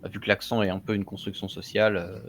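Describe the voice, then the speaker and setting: Host, male, low pitch, measured and thoughtful, thinks aloud between points. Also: level tone, fairly bright tone. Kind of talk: casual discussion.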